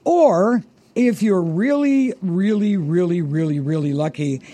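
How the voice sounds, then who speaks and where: High, mellow-voiced male radio host. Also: a little slow. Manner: bubbly, laughs easily, stretches words for emphasis. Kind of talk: upbeat radio interview.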